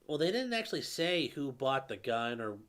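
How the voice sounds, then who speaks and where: Man, mid-pitched, slightly nasal, smooth, laid-back live-stream talk, fillers and restarts. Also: very animated, normal speed, a bit bright. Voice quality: calls his own voice nasally; a viewer calls it velvety. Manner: conversational, answers viewer questions with fillers and restarts. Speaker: lawyer host answering live chat. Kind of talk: casual live-stream commentary.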